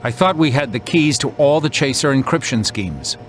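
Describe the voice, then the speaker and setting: An actor playing a cold, calculating boss in a video game, measured and commanding. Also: male voice, animated, close to an everyday voice. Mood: cool, calculating. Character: cold, calculating male boss in control room. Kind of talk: video game cutscene acting. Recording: rumbling noise behind the voice.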